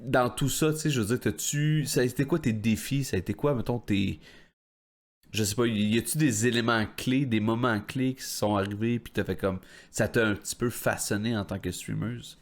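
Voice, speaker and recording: A man's voice: mid-range voice, normal speed. A man with a Québécois French accent co-hosting a podcast, asking loose, rambling questions. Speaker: podcast co-host. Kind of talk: casual podcast chat.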